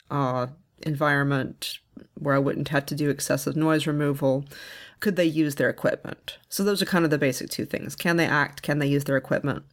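A woman giving informal spoken advice in a measured, explanatory manner. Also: deep voice. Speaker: audio fiction producer and contributor.

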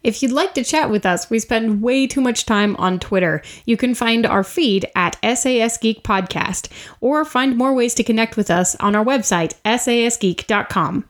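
A woman in her forties, a podcast host playing a tabletop role-playing game, playful and quick to laugh. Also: on the high side.

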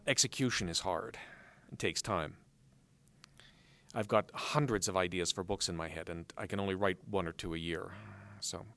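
A man answering interview questions as a guest author, explaining things in a measured way with dry humor. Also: on the low side, a bit bright, no echo. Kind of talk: live interview answers before an audience.

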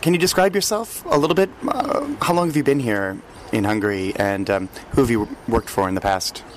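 A man hosting a politics podcast, reading a scripted intro with a hoarse voice, then interviewing a guest. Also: medium pitch, fairly quick, a little hiss or hum. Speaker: podcast host.

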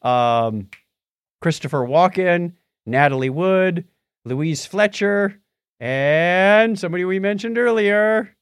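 A man co-hosting a podcast in casual chat, sometimes halting as he searches for words. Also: high voice, clean tone, no room sound, studio quality. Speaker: podcast co-host. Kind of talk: casual chat about movies and tech.

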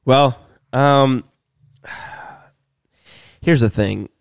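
A man's voice: mid-range voice, extremely slow, gravelly, clean tone. A man on a podcast, sick with a sore throat, telling a long story in a rambling way with lots of restarts. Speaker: podcast co-host. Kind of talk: casual chat.

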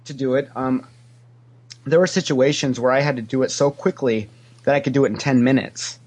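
A man's voice: mid-range voice, fairly even intonation, a little fast, darkish tone. A man giving casual, anecdotal answers as a podcast guest, a talkative storyteller who acts out what people said. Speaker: podcast guest, former theme park character actor.

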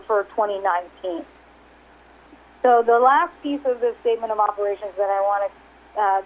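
A woman executive walks through quarterly figures on a conference call, in a somewhat high voice. She stays businesslike but often restarts and repeats words. The sound is narrow and tinny, like a phone line. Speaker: company executive. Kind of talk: earnings conference call presentation. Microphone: telephone.

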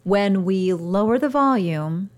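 A woman who hosts a show, speaking as a communication coach with a radio-trained delivery, using deliberate pauses and shifting her volume to demonstrate. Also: mid-range voice, animated, darkish tone. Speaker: podcast host and communication coach. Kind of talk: instructional monologue. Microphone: studio microphone.

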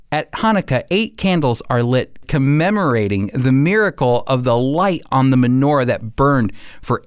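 Expressive man teaching, dry studio sound. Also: mid-range voice, smooth, dry recording. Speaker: radio show host and Bible teacher. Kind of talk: devotional teaching, reading and explaining.

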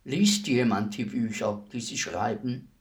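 A man's voice: mid-range voice, expressive, measured pace. Courteous, matter-of-fact male executioner. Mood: businesslike. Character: polite state executioner, businesslike, unruffled, deferential hired killer. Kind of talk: German radio play acting.

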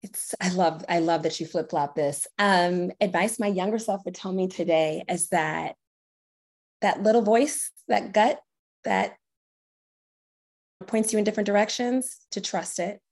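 A woman's voice: fairly low pitch, measured pace, darkish tone. A woman speaking casually as a podcast guest, earnest and encouraging in her answers. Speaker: podcast guest, corporate strategy director.

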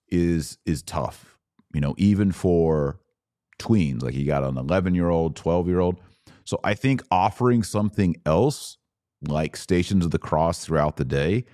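A man's voice: low voice, a little mellow, no room sound, clean studio sound. A man hosting a religious podcast, explaining things in a conversational, didactic way with emphatic restatements. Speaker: podcast host.

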